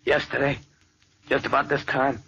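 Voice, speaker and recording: An actor playing a nervous, defiant gangster in a jail cell, talking in jittery street slang, in 1940s radio acting. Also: male voice, mid-range voice, flat delivery, normal speed, gravelly, pushed and bright, slightly rough, full character voice. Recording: dull old radio recording with hiss.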